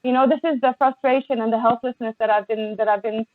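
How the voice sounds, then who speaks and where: A woman guest on a podcast, speaking with passion and many halting restarts over a remote call. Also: fairly high pitch, fairly even intonation, no echo. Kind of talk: impassioned conversation. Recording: tinny phone-like line, dry.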